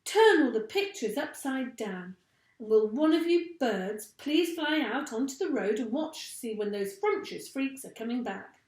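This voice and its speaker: A woman reading a children's storybook as an excitable, bossy male monkey father who barks out orders. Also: on the high side, unhurried, pitched above the performer's own voice. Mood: frantic, urgent. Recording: echoey room.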